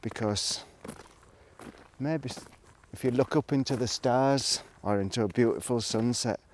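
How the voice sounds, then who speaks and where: A man talking casually to a vlog audience in a reflective, rambling monologue, musing haltingly and trailing off between thoughts. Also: medium pitch. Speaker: vlogger. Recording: noticeable background noise, clicks.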